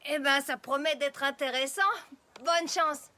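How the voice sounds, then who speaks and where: Animation: blunt, competitive mare, very high and forceful, faint music behind. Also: female voice, fairly even intonation, unhurried, slight background noise. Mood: amused. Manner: blunt, indignant. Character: feisty, competitive, plain-spoken female cartoon pony.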